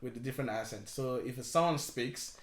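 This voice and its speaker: A man's voice: mid-range voice, fairly quick. A man with a Nigerian accent patiently explaining local sayings while reacting to a show, laughing often. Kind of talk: casual explanatory chat. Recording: echoey room with crowd noise behind.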